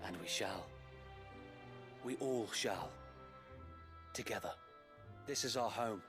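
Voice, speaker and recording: A man's voice: on the low side, takes it slowly. A man voicing a loyal knight in a game, formal, earnest and deferential. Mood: earnest resolve. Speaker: video game character. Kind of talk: dramatic game voice acting. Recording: faint game music behind. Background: game music.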